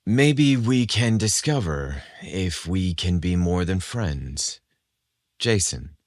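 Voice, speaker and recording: Man as a shy, low-voiced young suitor. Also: animated, slow pace, no echo. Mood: tender. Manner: hesitant. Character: shy, nervous, smitten young man, romantic.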